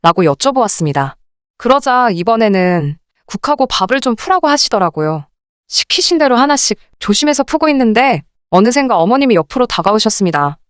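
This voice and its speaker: Woman, story narrator, animated intonation, smooth clear tone, scripted first-person delivery. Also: mid-range voice, no room sound, clean studio sound. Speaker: radio drama story narrator.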